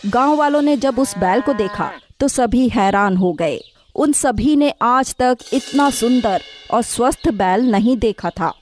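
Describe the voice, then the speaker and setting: Female narrator for animated children's fables; higher-pitched, scripted storytelling over a music bed. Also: a little slow. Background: background music.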